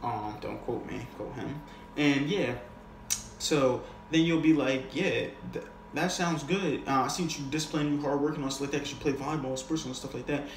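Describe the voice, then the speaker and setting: A man's voice: medium pitch, normal speed. A man coaching on sales in a casual, unscripted, conversational way, using slang and often checking in with the listener. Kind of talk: casual, unscripted instructional talk. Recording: echoey room with faint noise.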